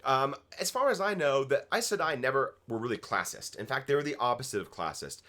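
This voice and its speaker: A man's voice: medium pitch, lively intonation, fairly quick, bright, forceful tone. A man casually giving opinionated commentary as co-host of a fan review show, emphatic and swearing freely. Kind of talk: casual opinionated episode discussion.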